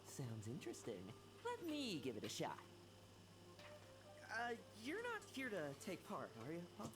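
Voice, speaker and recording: A woman's voice: fairly low pitch, wildly swooping pitch, a little slow. A woman voicing a cocky young knight with a brash, mocking manner. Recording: streamed game audio with noise.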